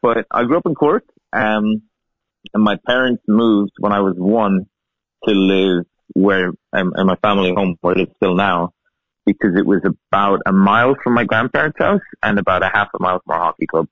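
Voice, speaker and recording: A man's voice: lowish voice, moderate pace, dry recording. Irishman in his thirties on a tinny call line. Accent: Irish, softened by years in the US. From Ireland. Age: 30s. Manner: relaxed, chatty, laughs while telling stories. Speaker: guest, field hockey coach. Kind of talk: casual interview storytelling. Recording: tinny phone-like line, dry.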